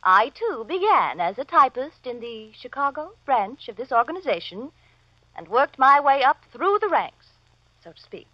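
Muffled old radio recording, pompous woman supervisor, very high, swooping voice, formal, officious. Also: sing-song, normal speed, no room sound. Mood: self-important. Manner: pompous, formal speech-making. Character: officious, self-important female office supervisor. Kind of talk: vintage radio comedy acting.

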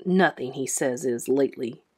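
A woman's voice: extremely low pitch, normal speed, dark tone, breathy edge, voice pushed down. A woman voicing a stern, weary schoolteacher in a storybook, complaining in a terse, strained way.

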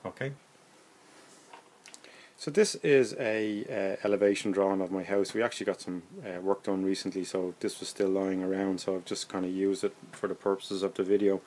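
A man's voice: low-pitched, steady tone, moderate pace, a little mellow. A man in his forties with an Irish accent, giving a casual, unscripted how-to explanation in a hesitant, plain-spoken way, recorded indoors on a phone. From Ireland. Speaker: hobbyist presenter. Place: indoors in a room at home.

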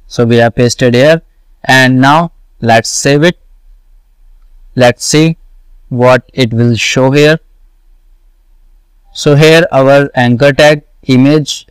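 A man walking through a coding tutorial, slowly repeating each step and answering his own questions. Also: medium pitch, clear, resonant tone, no echo, poor audio. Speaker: web development tutor. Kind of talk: screen-recorded coding tutorial.

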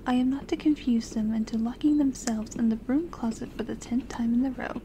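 A woman plays a poised young assistant with a slightly high voice who whispers softly in a formal, teasing way. Her speech stays prim and courteous. A clear ambience hiss runs under her voice. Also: a little fast, natural voice. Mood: polite whisper. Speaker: personal assistant to the listener. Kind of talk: ASMR audio roleplay.

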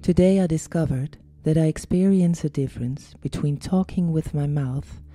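A woman with a Dutch accent, a coach and podcast host, speaking in a calm, careful, reflective solo monologue. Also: low-pitched, normal speed. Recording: soft music underneath.

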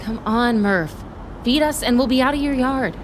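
A woman playing a pushy, driven crime reporter in an audio drama, brisk and insistent. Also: on the high side, slight background noise, close to an everyday voice. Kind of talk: naturalistic audio drama acting.

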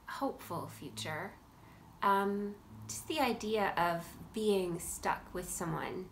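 A woman's voice: on the low side, gravelly, fairly bright tone, slight background noise. A middle-aged woman, a playwright talking informally and reflectively to camera in a room at home. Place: indoors, furnished room at home.

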